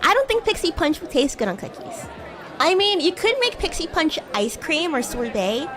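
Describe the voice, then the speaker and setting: A woman's voice: high voice, sing-song, moderate pace, a crowd in the background. A woman voicing a bratty young demon schoolgirl in a roleplay stream, teasing and stammering when flustered. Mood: playful. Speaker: VTuber streamer playing a character. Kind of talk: improvised roleplay livestream, chatting with viewers.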